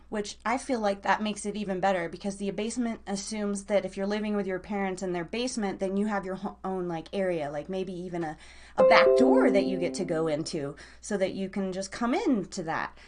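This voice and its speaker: A woman's voice: mid-range voice, a little fast, darkish tone. A woman hosting a live online radio show, chatting casually in an informal, slangy, rambling way straight to her listeners.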